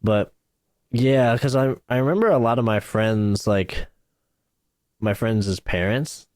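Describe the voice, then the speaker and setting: A man's voice: medium pitch, takes it slowly, a little mellow, dry recording. A man in his twenties, a YouTuber chatting casually on a podcast, laid-back and saying "like", "dude" and "bro" often. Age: 20s.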